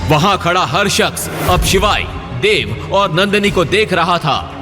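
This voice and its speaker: A man's voice: high-pitched, expressive, measured pace, in a large hall. A man giving dramatic scripted narration of an audio story, with music behind him.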